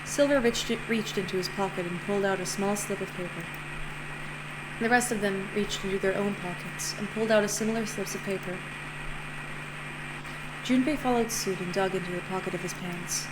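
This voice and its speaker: A woman streamer reading a visual novel aloud, narrating lively with playful asides and self-corrections. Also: medium pitch, moderate pace. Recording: noisy home stream recording.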